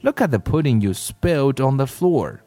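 A man's voice: medium pitch, very animated, moderate pace, warm tone, no room sound, faint music behind, pitched above the performer's own voice. A man voicing a curious, greedy little boy who is the younger brother, in a raised, wheedling voice.

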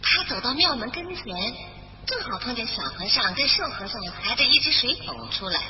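Animated, high-voiced woman storyteller. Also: fairly quick. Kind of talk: animated scripted children's bedtime narration. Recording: dull roomy recording with music behind.

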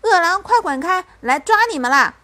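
Woman as storybook mom, protective, tender yet commanding, very animated intonation. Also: a little high, normal speed. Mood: fierce, shouting. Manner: soft coaxing that turns to bold commanding. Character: young mother, tender with her child, fiercely protective.

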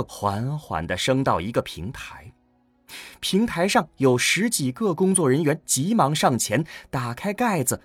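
A man with a husky voice narrating a scripted science-fiction audio drama. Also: medium pitch, dry recording.

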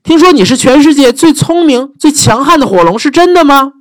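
A man doing a high eager storybook princess. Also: fairly quick, dry recording, clean studio sound. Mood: flattering. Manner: eager, exclaiming, flattering. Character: clever brave young princess, flattering and cheering.

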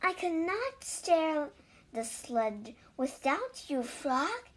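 A woman's voice: high voice, sing-song, very slow, pitched above the performer's own voice, exaggerated voice. A woman voicing an anxious, stubborn, grumbling male toad in a children's storybook. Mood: excited.

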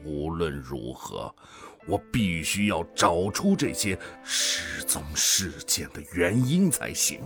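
An actor playing a weary, guilt-ridden older male commander in a radio drama, brooding and deliberate with sighs. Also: low-pitched, sing-song, music in the background, heavily acted. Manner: brooding, deliberate, sighing soliloquy.

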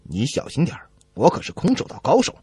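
A man's voice: medium pitch, wildly swooping pitch, fast talker, warm tone, slightly rough, dry recording, heavily acted. A man playing a cocky, smugly threatening bar customer in a comedy radio sketch. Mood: smug menace. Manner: smug, boastful.

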